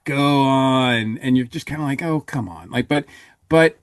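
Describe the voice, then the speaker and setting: A man on a podcast panel giving a casual review, halting as he thinks aloud. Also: medium pitch, smooth, dry recording, studio quality.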